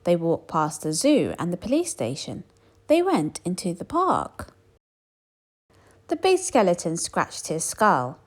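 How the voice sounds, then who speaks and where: Very animated woman reading a children's story. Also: on the low side, a little slow. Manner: animated, dramatic emphasis on repeated phrases. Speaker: storybook reader. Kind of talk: expressive children's story read-aloud.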